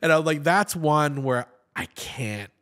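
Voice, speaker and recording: Animated man talking with lots of restarts. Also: fairly high pitch, slow. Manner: animated, gesturing, with many repeated words and restarts. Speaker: podcast guest, actor and comedian. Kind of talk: casual interview chat. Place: podcast studio set. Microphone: studio mic on a boom stand.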